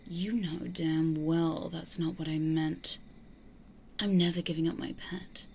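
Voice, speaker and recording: A woman acts out a vampire lady for an ASMR roleplay. She is possessive and stubborn, with a lowish, hushed, dry delivery and a faint hiss behind her. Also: steady tone, measured pace. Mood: possessive, annoyed.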